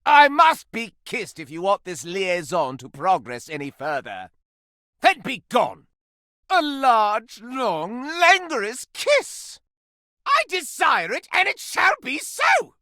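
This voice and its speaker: Fancy old candlestick game character, super melodramatic and horny for scandal, pitch flying all over, takes his sweet time. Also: huge pitch swings, takes it slowly, bright, forceful tone, no echo, studio quality, heavily acted. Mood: spurned, indignant. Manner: pompous, florid, melodramatic, breathy swoons and purrs. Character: flamboyant, lustful, theatrical antique candelabra craving scandal. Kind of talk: video game character acting.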